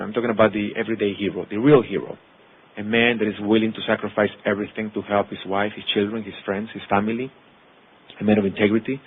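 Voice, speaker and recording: A man's voice: lowish voice, husky. A man from Mexico in his thirties, Spanish native, with a Mexican accent, giving an earnest personal testimony to an audience. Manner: earnest, lists words in long runs. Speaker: actor and producer speaking to an audience. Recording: tinny phone-like sound.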